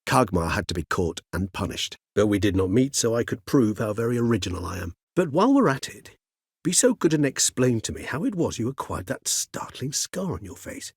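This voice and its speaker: A man's voice: medium pitch, big pitch swings, breathy edge, no echo, studio quality. An actor voicing a cultured older male vampire in a video game, speaking formally and precisely. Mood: inquisitive, earnest. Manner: erudite, formal, measured, wordy phrasing. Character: cultured, mild-mannered older male vampire, learned and courteous. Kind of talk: theatrical video game dialogue.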